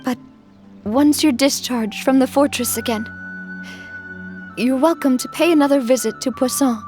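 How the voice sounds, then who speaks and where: A woman voicing a young gang boss who speaks softly and in a measured, heartfelt way. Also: high-pitched, music in the background, natural voice. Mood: resolute. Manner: soft-spoken, measured, emotional. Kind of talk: game voice acting.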